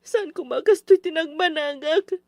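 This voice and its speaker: An actress with a Filipino accent playing a frightened, battered young wife in a radio drama, pleading and whimpering. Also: female voice, high-pitched, moderate pace, dry recording, studio quality. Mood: tearful, pleading. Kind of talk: melodramatic radio drama acting.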